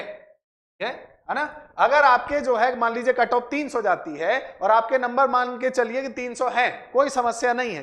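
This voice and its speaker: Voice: medium pitch, fairly bright tone. A teacher explains things to students, repeating points and checking in with short tag questions. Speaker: exam-prep teacher and channel host.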